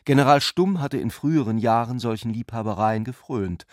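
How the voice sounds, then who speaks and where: A man narrates literary prose for a radio play. His voice has an average male pitch, and the studio sound is very dry. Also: normal speed, no echo.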